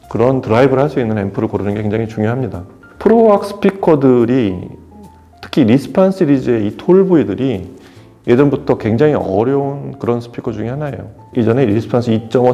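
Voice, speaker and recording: A man giving an explanatory product review as an audio equipment reviewer, talking in a measured way with emphatic pauses. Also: medium pitch, vocal fry, dark tone, highs cut off.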